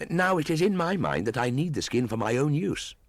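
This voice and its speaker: Scornful, commanding young jungle boy played by a man, pitched up from the narration, old-fashioned storybook speech. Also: sing-song, a little fast, dry recording, clean studio sound. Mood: defiant. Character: defiant, scornful young boy raised by wolves.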